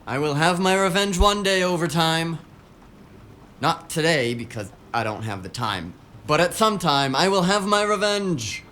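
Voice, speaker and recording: An actor playing a grumbling tech office worker in an audio drama, casual and slangy with a melodramatic streak. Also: male voice, a little high, a little slow, a bit bright, a little hiss or hum. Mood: mock-epic grumbling.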